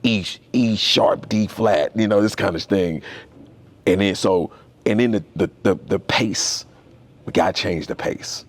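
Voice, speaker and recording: A man's voice: fairly low pitch, unhurried. A young man, a tattoo artist, talking as a podcast guest in a loose, slangy, storytelling way. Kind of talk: casual conversation.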